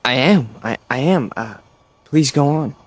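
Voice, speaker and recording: An actor playing a needy, insecure young man in a radio drama, speaking in a pleading, halting, emotional way. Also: medium pitch, animated. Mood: awed, hesitant. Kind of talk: vintage-style radio drama acting.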